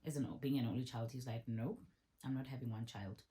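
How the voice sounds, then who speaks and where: A woman in her thirties with a notably low, deep voice. She chats casually through a viewer Q&A, repeating her points for emphasis. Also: steady tone, a little fast, mellow. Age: 30s. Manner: chatty, repeats herself for emphasis. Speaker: vlogger. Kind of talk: casual Q&A answering viewer questions.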